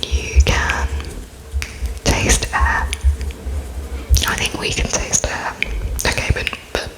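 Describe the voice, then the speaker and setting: A woman whispering an ASMR roleplay as an interviewer, halting and pausing as she takes notes, politely echoing answers back. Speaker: ASMR performer playing an interviewer.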